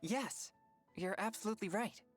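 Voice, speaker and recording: A woman's voice: mid-range voice, moderate pace, faint music behind, lightly acted. A woman playing an earnest, reserved teenage boy exorcist in an anime-style video game, polite and serious in manner. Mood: sheepish.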